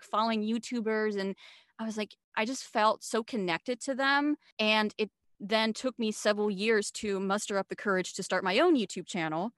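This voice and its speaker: A woman's voice: medium pitch, a bit bright, no room sound. Swiss-born woman in her 30s, English not her first language, chatty storyteller, dry close sound. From Switzerland. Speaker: guest, video marketing coach. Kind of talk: casual interview chat.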